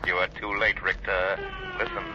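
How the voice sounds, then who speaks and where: A man's voice: deep voice, wildly swooping pitch, normal speed, crowd noise. A man playing a resolute, mocking mystery crime-fighter with dramatic authority in a 1940s radio drama. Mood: mocking triumph. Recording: muffled, very noisy old radio recording.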